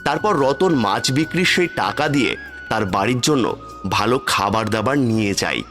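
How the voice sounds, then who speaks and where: Male narrator for a cartoon fairy tale, animated intonation, mid pitch, clear music bed. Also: fairly quick. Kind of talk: scripted cartoon storytelling.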